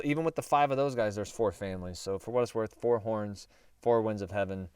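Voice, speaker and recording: A man with a low, smooth voice hosts a casual Bible study, talking in a chatty, meandering way with quick self-corrections. His voice is slightly hoarse. He sits a little back from the mic in a dry, dead room. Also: no room sound, distant mic. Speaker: host of a Bible prophecy show.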